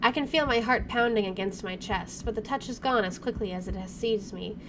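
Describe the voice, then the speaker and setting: A woman's voice: medium pitch, fairly quick. A woman game streamer reading visual novel narration aloud, with her own asides. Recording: clearly noisy home recording.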